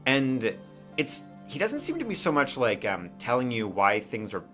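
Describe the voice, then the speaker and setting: A man's voice: mid-range voice, huge pitch swings, normal speed, a bit bright, soft background music. A man narrating an improvised tabletop game as game master, conversationally, often checking in with his players.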